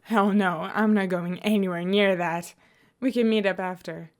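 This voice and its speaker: A woman voicing a playful, excitable young male college student in a story, animated and mock-indignant. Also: medium pitch, moderate pace, close to an everyday voice. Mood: indignant, complaining.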